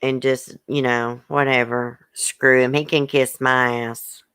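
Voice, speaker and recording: A woman's voice: extremely low pitch, little pitch movement, slow pace, a bit bright, clear, resonant tone, no room sound. A woman hosting a livestream, upset and hurt, bluntly venting and reading viewer comments aloud in casual, swearing speech. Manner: emotional, blunt, colloquial, swears. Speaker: YouTube channel host.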